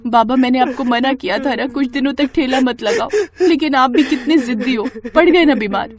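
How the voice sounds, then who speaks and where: A woman voicing a devoted, worried young daughter in an animated moral story, earnest and pleading. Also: sits high, normal speed, crowd noise, voice pushed up, close to an everyday voice. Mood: tearful scolding. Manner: pleading, emotional.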